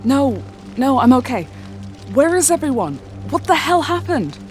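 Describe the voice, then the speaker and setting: A woman's voice: high voice, big pitch swings, unhurried, faint music behind. A woman playing a shaken, earnest English teenage goth girl in an audio drama.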